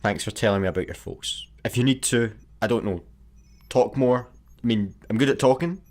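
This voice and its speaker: An actor with a Scottish accent playing a talkative, self-effacing young adventurer in a sci-fi comedy radio play. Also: male voice, mid-range voice, moderate pace, a little mellow, close to an everyday voice. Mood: chatty, apologetic. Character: chatty, awkward, hopeful young man adventurer.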